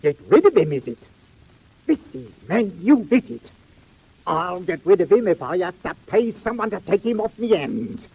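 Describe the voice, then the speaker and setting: An actor playing a pompous, bad-tempered, cruel workhouse master in a 1940s audio drama, with a rough Cockney-flavoured English accent. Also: male voice, high-pitched, sing-song, moderate pace, dull audio, exaggerated voice. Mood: angry, threatening. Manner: pompous, blustering, exclaiming.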